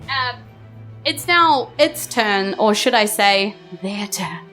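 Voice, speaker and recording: A woman's voice: mid-range voice, lively intonation, slow pace, fairly bright tone. A woman running a live tabletop game as game master, narrating in a casual, playful way and often rephrasing as she improvises. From New Zealand. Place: live on stage at a club. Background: music under the voice.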